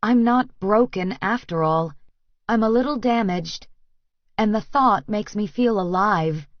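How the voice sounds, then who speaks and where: A woman's voice: medium pitch, measured pace, dry recording, muffled recording. A woman reading first-person romance fiction as an audiobook narrator, in a scripted storytelling style.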